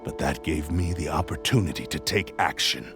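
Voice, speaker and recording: A man's voice: a bass voice, normal speed. A man playing an arrogant, vengeful sentient sword that possesses people, speaking in a grandiose, menacing villain style for a video game. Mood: scornful, ambitious. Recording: loud background music.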